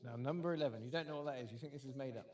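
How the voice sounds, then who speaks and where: A man's voice: medium pitch, rapid-fire. Guy reading out quiz answers, dry and wry, lots of ums, faint music behind. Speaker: quiz host. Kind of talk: casual live quiz banter.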